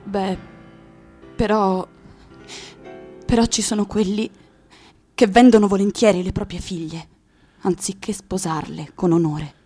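A woman's voice: mid-range voice, fairly even intonation, unhurried, pauses often, mellow, husky, faint music behind. An actress in an Italian radio drama playing a guarded, sceptical young woman with a terse, hesitant, questioning way of talking. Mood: sceptical, challenging.

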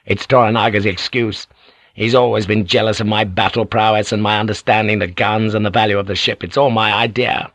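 A male narrator plays an aging samurai warlord from an audiobook, low in pitch and recorded close and dry. He is proud and scheming, issuing orders with imperious, emphatic force. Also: flat delivery, brisk, a bit bright, dry recording. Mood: proud, urgent. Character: fierce, scheming older samurai lord, proud and commanding. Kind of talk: audiobook character acting.

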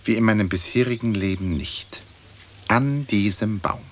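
An actor playing a dead aristocratic lord in a radio play, speaking formally in measured, old-fashioned, dry phrases. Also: male voice, on the low side, slight background noise, close to an everyday voice. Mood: dry, stately. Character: aristocratic dead English lord, dignified, dry, long-winded.

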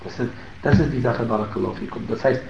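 A man giving a religious lesson in German, emphatic on key points and pausing with fillers. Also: mid-range voice, vocal fry, darkish tone, slight background noise. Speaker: Islamic teacher. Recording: roomy, muffled, faint ambience.

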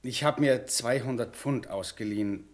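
A man's voice: medium pitch, normal speed, close to an everyday voice. A man acting in a German radio play as a touchy, defensive junior bank employee with a self-justifying manner. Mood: sheepish, defensive.

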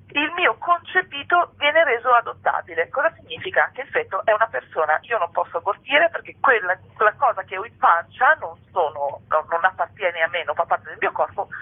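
A woman's voice: mid-range voice, phone-quality sound. A woman speaking as an interviewed author, explaining politics in an articulate, argumentative way with ironic asides. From Italy.

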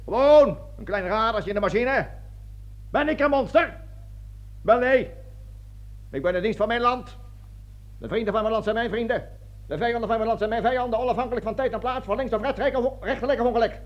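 An actor playing a pompous, opportunistic army colonel in a radio play, speechifying grandly between barked commands and hushed asides. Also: male voice, very high-pitched, a little fast, long pauses. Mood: oratorical persuading. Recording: dull, lo-fi radio play recording.

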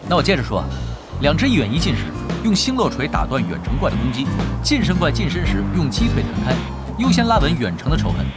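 A man's voice: on the high side, wildly swooping pitch, quick pace, loud music behind the voice. An actor playing a shrewd, unflappable young male expert gamer in an audio drama, nonchalant, matter-of-fact and teasing. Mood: instructing. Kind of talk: Chinese audio drama acting.

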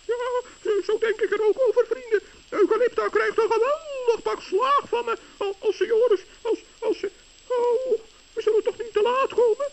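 An actor voicing a small, kind-hearted, anxious gnome in a children's radio play, with a squeaky, stammering delivery. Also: steady tone, moderate pace, slight background noise. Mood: indignant, agitated. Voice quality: squeaky, creaky. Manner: stammering, worried. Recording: dull old radio recording.

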